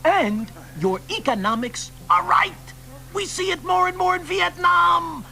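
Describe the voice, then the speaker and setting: An actor playing an eager young male poet who flatters an older writer in a radio play. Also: very high-pitched, measured pace, vocal fry, heavily acted. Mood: earnest. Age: young adult. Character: earnest young male admirer, persistent.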